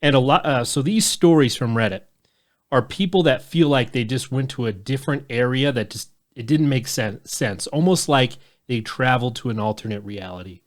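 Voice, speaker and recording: A man's voice: medium pitch, normal speed. A man in his thirties hosting a podcast, talking in a loose, rambling way and swearing freely. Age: 30s. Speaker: podcast host. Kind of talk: casual podcast chat.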